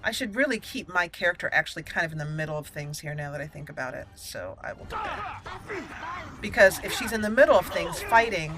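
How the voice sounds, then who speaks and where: Woman narrating gameplay over loud game music. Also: lowish voice, sing-song, brisk, rough recording. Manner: thinks aloud, narrating each move. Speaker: gaming channel host. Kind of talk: casual gameplay commentary.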